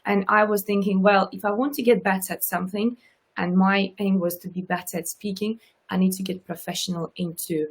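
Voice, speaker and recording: A woman's voice: medium pitch, steady tone, brisk, slightly rough. A woman from Russia with a subtle Russian accent, hosting an interview show as a coach, speaking earnestly and repeating points for emphasis. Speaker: talk show host and coach. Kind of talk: casual interview chat.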